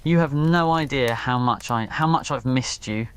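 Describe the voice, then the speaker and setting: A man voicing a devoted, protective young wizard boyfriend in an audio roleplay, quiet, tender and reassuring. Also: mid-range voice, normal speed, a little hiss or hum, close to an everyday voice. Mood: relieved, tender.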